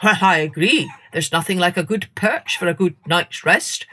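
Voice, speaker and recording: A bossy rooster, as a woman acts him out, barking stern orders in a storybook tale. His voice is breathy and swings widely in pitch. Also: expressive, moderate pace, sharp tone. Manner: stern, snappish.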